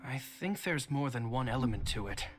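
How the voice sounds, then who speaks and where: An actor voicing an earnest young man, a worried older brother, speaking in a measured, thoughtful way with frequent sighs. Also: mid-range voice, expressive, soft background music, lightly acted. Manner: measured, thoughtful, sighing often. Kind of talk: game voice acting.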